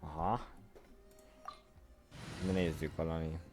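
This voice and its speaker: A man's voice: extremely low pitch, little pitch movement, slow. A man giving casual game stream commentary in short, muttered reactions. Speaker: gaming streamer. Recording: voice over loud game music. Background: game music.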